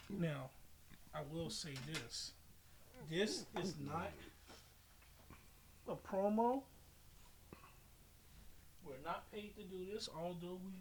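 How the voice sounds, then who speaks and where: A man's voice: fairly high pitch, slight background noise. A man hosting a podcast in casual chat, talking in an opinionated, profane and rambling way. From military family, lived in Japan growing up. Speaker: podcast host.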